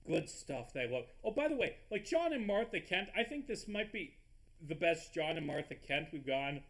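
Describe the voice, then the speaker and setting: A man with a stuffy nose rambling excitably in casual commentary over a game recording, swearing often and tripping over his words. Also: fairly high pitch, normal speed, gravelly. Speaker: gaming video host.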